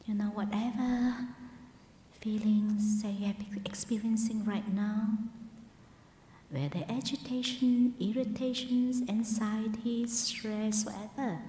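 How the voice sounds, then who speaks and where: A woman leading a guided meditation as a teacher, speaking in a soft, breathy, soothing way with repeated phrases. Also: a little high, steady tone, slow. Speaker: meditation teacher, Buddhist nun. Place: large meditation hall at a Buddhist centre. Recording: roomy hall reverb, faint background noise.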